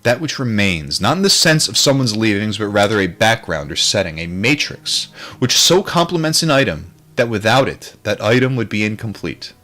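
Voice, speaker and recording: A man's voice: lowish voice, sing-song, normal speed, bright, forceful tone, soft background music. A man reading aloud from a book in an informal way, sometimes adding casual commentary and stumbling over difficult terms. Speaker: book reader, streamer.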